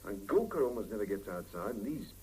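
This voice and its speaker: An actor playing a well-meaning but helpless, self-absorbed young husband in a 1970s radio drama, hesitant and wheedling. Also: male voice, on the low side, big pitch swings, fast, darkish tone. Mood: defensive, reasoning. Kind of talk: 1970s radio drama, naturalistic domestic dialogue. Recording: hissy 1975 radio drama cassette, mono.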